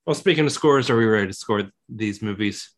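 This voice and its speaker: A man's voice: lowish voice, fairly quick. A man hosting a casual film review podcast, upbeat and jokey as he steers the talk between segments. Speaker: podcast host.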